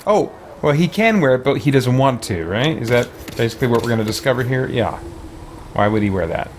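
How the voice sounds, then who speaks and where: A man's voice: medium pitch, expressive, fairly quick. A man thinking aloud in casual game playthrough commentary, muttering to himself with mild exclamations. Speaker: gaming video host. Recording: clear background noise.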